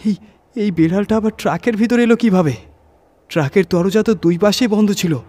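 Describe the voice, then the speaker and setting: A man's voice: sits high, brisk, darkish tone, pitched above the performer's own voice. A man playing an irritable, frightened truck driver in a horror audio story, agitated and full of exclaimed questions. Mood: alarmed.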